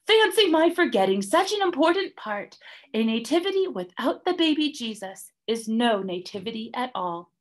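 A woman voicing a bossy, fussy female emu in a storybook, brisk and theatrical. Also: fairly high pitch, big pitch swings, pitched above the performer's own voice. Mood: reassuring, emphatic.

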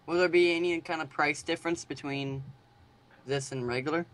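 A young man on a call, asking halting, stumbling questions as a customer. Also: a little high, steady tone, a bit bright. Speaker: prank caller. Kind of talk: hesitant phone conversation. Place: online voice call.

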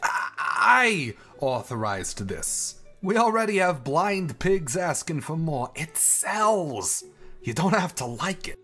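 A man's voice: a little high, very animated, slow pace, sharp tone, faint music behind, full character voice. A man playing a persuasive, insistent bootlegger henchman in an audio drama. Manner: coaxing, insistent.

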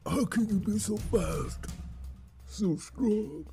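A man acting a menacing, brutal demigod villain with a gravelly voice in an audio drama. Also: high-pitched, lively intonation, slow pace, mellow, faint music behind, heavily acted. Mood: astonished.

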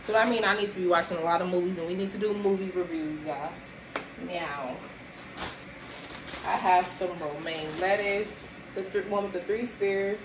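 A woman's voice: fairly low pitch, fairly even intonation, moderate pace. Chatty young woman in an echoey kitchen. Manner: chatty, addresses viewers as y'all, moving around while unpacking bags. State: tired and sweaty. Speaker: vlogger showing a grocery haul. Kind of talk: casual chat to viewers. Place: small apartment kitchen, indoors. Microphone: camera at a distance across the room. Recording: echoey kitchen with background noise.

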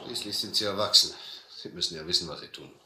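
A man's voice: deep voice, fast talker, bright, forceful tone, roomy sound, a little hiss or hum. An actor playing a worried, skeptical ship's helmsman, blunt and doubting, in a radio adventure play. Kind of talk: German audio adventure drama.

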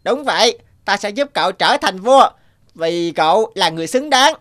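A woman's voice: medium pitch, animated, moderate pace, noisy background, lightly acted. A woman voicing a wise, grateful talking toad in a fairy-tale cartoon, assured and instructive. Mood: helpful, assured. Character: wise grateful talking toad granting magical help to a prince.

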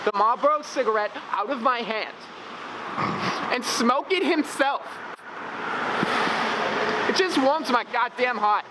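A man's voice: very high-pitched, slow pace, long pauses, very noisy, pitched above the performer's own voice. A man playing a twisted, folksy young cigarette salesman delivering a mock-heartfelt monologue.

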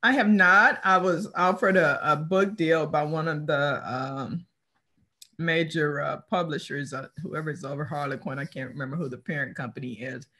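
A woman's voice: low voice, normal speed, sharp tone. A middle-aged woman from Texas, a guest author, chatting in an easygoing way and laughing often, heard over a webcam from a room at home. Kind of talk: casual interview chat. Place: home room with framed pictures on the wall. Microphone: video call webcam.

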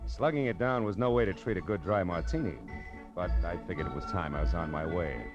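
A man's voice: sits low, brisk, highs cut off, natural voice. An American actor playing a wry, hardboiled male private detective in a late-1940s radio noir drama. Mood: wry narration. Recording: vintage radio drama with music behind.

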